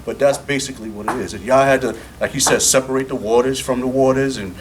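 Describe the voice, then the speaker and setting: A man's voice: medium pitch, steady tone, fast. A man explaining the meaning of a scripture verse to a congregation in a plain, repetitive way. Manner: plainspoken, repeats "basically". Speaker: congregation teacher. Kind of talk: explaining scripture in a group discussion. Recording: distant mic, background noise.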